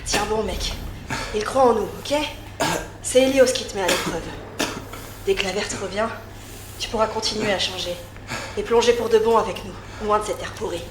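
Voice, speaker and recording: A woman's voice: mid-range voice, moderate pace, pauses often, reverberant, background noise, natural voice. A woman playing a young medic in an underwater colony, urgent in a crisis and tired and sarcastic after it. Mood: soothing. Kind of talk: amateur audio drama acting.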